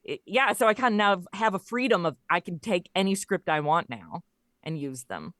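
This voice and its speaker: A woman's voice: on the low side, big pitch swings, normal speed, a bit bright, no echo. A woman speaking as an interview guest, telling stories in a casual, conversational way. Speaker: podcast guest, audio comedy creator.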